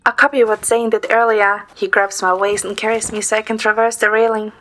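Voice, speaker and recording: A woman's voice: medium pitch, little pitch movement, a little fast, fairly bright tone, close to an everyday voice. A woman narrating an audio drama in the first person as a composed, observant young woman. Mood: composed, descriptive.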